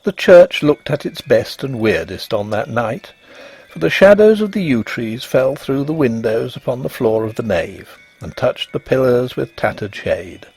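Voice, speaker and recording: A man narrating a ghost story in an expressive, measured and dramatic scripted reading. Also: mid-range voice, husky, poor audio.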